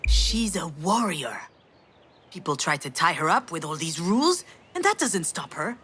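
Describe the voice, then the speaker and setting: A woman playing a sardonic, self-blaming yet tender mother in a dramatic video game, frank and emotionally expressive. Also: lowish voice, measured pace, husky, exaggerated voice. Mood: wry enthusiasm. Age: adult.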